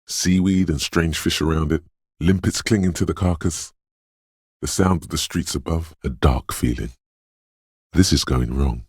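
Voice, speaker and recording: A mysterious inner voice of intuition, extremely low, dry close sound, poetic, portentous, brooding video game narration. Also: male voice, a little slow, darkish tone, dry recording, heavily acted. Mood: eerie, melancholy. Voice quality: deep, gravelly, husky. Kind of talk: scripted second-person video game narration.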